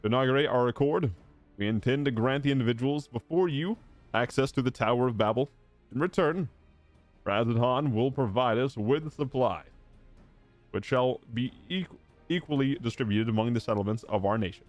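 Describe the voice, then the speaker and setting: A man voicing an earnest statesman from a fantasy game in a formal, declamatory, measured way. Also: mid-range voice, dry recording, close to an everyday voice. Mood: solemn, proud. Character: formal, earnest statesman addressing his war-weary nation. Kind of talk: reading fantasy game dialogue aloud. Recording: game music playing behind the voice.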